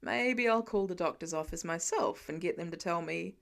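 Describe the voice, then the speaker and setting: A woman's voice: lowish voice, normal speed, gravelly, a bit bright, pitched below the performer's own voice. A woman voicing a playful, dryly teasing adult man, a grumbling dad, in a fiction audiobook. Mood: mock grumbling. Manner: playful, dry, wheedling.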